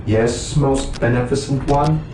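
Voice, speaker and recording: An actor playing a servile, machine-like servitor in a video game, with a subservient, mechanical manner. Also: male voice, mid-range voice, a little slow, close to an everyday voice. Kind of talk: video game unit voice acting. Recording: echoey, distant, music behind.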